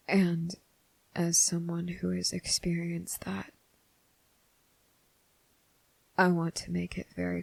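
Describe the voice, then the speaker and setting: A woman voicing a nervous, earnest, gentle young man in an ASMR roleplay, speaking haltingly and softly, often slipping into a whisper. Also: lowish voice, no room sound, heavily acted, rough recording. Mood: regretful, sincere. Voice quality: soft, breathy, slipping into whisper. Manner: halting, hesitant, often pausing mid-sentence. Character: nervous, earnest, gentle young male trainee confessing his feelings. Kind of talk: ASMR roleplay addressed to the listener.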